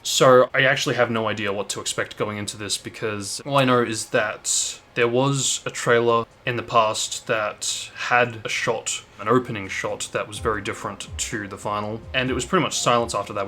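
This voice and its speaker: A man's voice: lowish voice, bright, forceful tone. A man hosting a gaming video, giving casual, thoughtful and explanatory reaction commentary. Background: game cutscene soundtrack.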